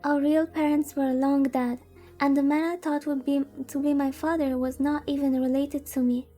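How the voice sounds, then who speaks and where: A woman's voice: high voice, level tone, moderate pace, mellow, soft background music, lightly acted. A woman voicing a naive young visual-novel heroine who narrates her own story in an earnest, literary way. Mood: shaken, wondering.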